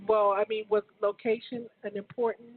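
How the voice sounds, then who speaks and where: A woman's voice: mid-range voice, level tone, moderate pace. A woman hosting an interview, asking casual questions with frequent hesitant restarts. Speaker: show host interviewing a business owner. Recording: tinny phone-like line with faint hiss.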